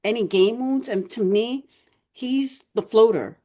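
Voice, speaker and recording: A woman co-hosting a podcast in a casual, rambling chat, her voice going hoarse as she keeps clearing her throat. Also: on the high side, measured pace, warm tone. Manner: chatty, rambling, many fillers. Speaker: podcast co-host.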